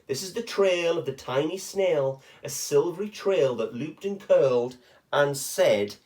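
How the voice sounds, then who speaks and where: A man's voice: a little high, lively intonation, unhurried, a little mellow. A man reading a rhyming children's picture book aloud expressively, with short chatty asides to young listeners.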